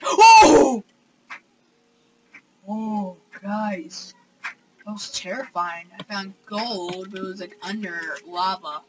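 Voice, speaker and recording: A young man hosting a console game let's play from his room, excitable and gasping, with hesitant fillers. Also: high voice, slow, pauses often, background noise. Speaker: gaming channel host. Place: home room with console screen.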